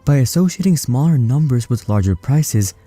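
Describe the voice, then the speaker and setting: A man narrating a scripted explainer in an even, read-out way. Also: medium pitch, moderate pace, dry recording, faint music behind.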